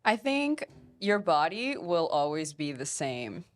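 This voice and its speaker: A woman's voice: deep voice, big pitch swings, slow pace. A young adult woman speaking as a guest in a casual, conversational debate.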